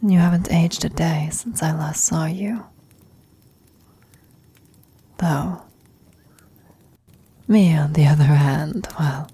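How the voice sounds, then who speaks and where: Woman as a very slow, whispering frail old woman. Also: sits low, dark tone, heavily acted. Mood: wistful, self-deprecating. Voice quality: breathy, husky whisper. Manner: halting, tender, reflective, long pauses. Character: frail, dying old woman, wistful retired adventurer and former wife. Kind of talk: slow, intimate ASMR roleplay.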